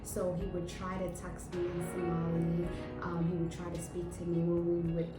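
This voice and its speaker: A woman's voice: lowish voice, completely flat delivery, moderate pace. A young woman born in Ethiopia, whose first language is Amharic, chatting casually to camera as a vlog host, with lots of filler ums and easy laughs. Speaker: vlogger host. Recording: roomy, distant mic, music underneath.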